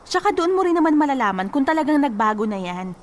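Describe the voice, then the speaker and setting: An actress playing a blunt, bossy female cousin in a radio drama, teasing and scolding with a sharp tongue. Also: high-pitched, big pitch swings, fast talker.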